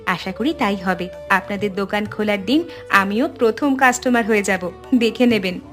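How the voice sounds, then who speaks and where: A woman voicing a friendly, helpful tea-stall neighbour with a polite manner in an animated story. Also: mid-range voice, moderate pace, faint music behind, natural voice.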